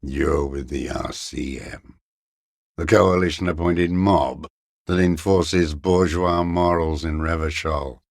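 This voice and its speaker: An embittered old male revolutionary, the last defender of a lost cause. His voice is extremely low and full of gravel, and he speaks slowly. He declaims like a haunted video game ideologue, proud and accusing. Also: steady tone, dry recording, clean studio sound, full character voice. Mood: bitter, accusing. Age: older. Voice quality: gravelly, raspy, worn low voice. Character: bitter old communist deserter, last defender of a fallen commune. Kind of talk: dramatic video game voice acting.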